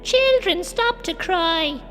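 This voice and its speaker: Very high, clucky little ice chicken. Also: female voice, lively intonation, takes it slowly, full character voice. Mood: fretful. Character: bossy, fussing little ice chicken creature. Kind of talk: children's audio drama acting. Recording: loud music behind.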